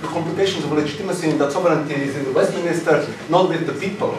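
A middle-aged man with a fairly high voice argues emphatically as an academic on a panel. He is recorded from a distance in a roomy space, with slight noise. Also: fast. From Czechoslovakia. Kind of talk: answering questions in a panel discussion. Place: seminar room, indoors. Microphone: far camera.

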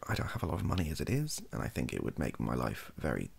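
A young British man, softly spoken, rambling hesitantly through an opinion monologue in a gravelly, husky voice and often trailing off. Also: extremely low pitch, huge pitch swings, quick pace. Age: young adult. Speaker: ASMR YouTuber. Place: small home room, indoors.

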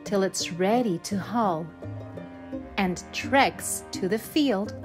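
A woman reads a rhyming picture book for children. Her delivery is extremely slow and deliberate, broken into short measured phrases. Faint instrumental music plays behind her. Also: medium pitch. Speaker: storybook narrator. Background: instrumental backing music.

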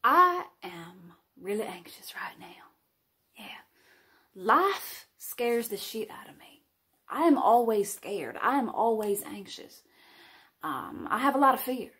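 A woman's voice: on the high side, expressive, measured pace. A woman voicing an anxious, fearful person who confides in a shaky whisper.

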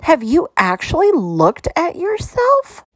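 A woman's voice: very high voice, wildly swooping pitch, slow pace, no room sound, studio quality, voice pushed up, full character voice. A woman voicing a startled, doubtful but kindly young hamster sister in a children's storybook, speaking hesitantly.

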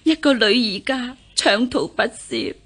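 An actress playing a grave, caring older lady of the house, giving solemn, measured instructions in a historical radio drama. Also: fairly high pitch, expressive, fairly bright tone, slightly rough, full character voice. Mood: solemn, instructing.

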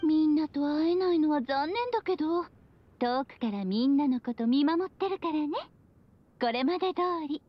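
A woman as a high-voiced, playful witch mother. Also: moderate pace, muffled recording, lightly acted. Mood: cheerful. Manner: breezy, teasing, sing-song friendliness. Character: playful, free-spirited witch mother, bubbly and affectionate. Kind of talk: video game voice acting, monologue.

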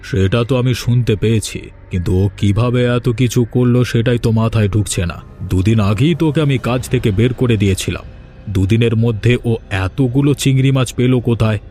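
A man's voice: medium pitch, brisk, mellow, lightly acted. An indignant village boss played by a man in a cartoon, with a mid-range voice. He is suspicious and commanding, over a bed of music. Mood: puzzled, annoyed. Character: angry, suspicious village fish-farm boss. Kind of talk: Bengali cartoon dubbing. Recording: music under the voice.

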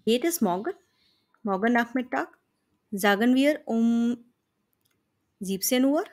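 A woman's voice: a little high, animated, takes it slowly, a little mellow. Businesslike travel agency lady, read by an Indian-accented woman. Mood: friendly. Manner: formal, businesslike. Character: female travel agency manager, businesslike, friendly. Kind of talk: scripted dialogue read for learners.

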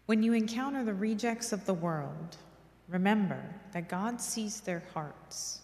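A middle-aged woman reading a scripted devotional and prayers in a solemn, measured, reverent manner at a church lectern microphone. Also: medium pitch, darkish tone. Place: large church interior, at a lectern. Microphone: microphone on lectern stand. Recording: echoey church hall with long reverb.

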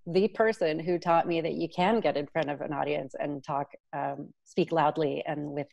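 A woman's voice: deep voice, fairly even intonation, moderate pace, gravelly, a little mellow, lo-fi sound. A woman in her thirties casually moderating a panel over a video call. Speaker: panel host.

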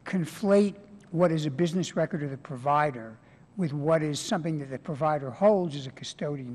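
An older man testifying at a hearing, explaining his point with examples and a few hesitant fillers into a table microphone. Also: on the high side. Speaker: hearing witness. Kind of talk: congressional testimony. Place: large hearing room. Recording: reverberant hearing room.

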